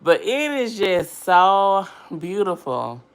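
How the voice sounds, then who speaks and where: A woman with a low, scratchy voice hosting a casual livestream, reading viewer comments aloud and answering them in a chatty, upbeat way. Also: sing-song, slow, clean tone. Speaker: livestream host.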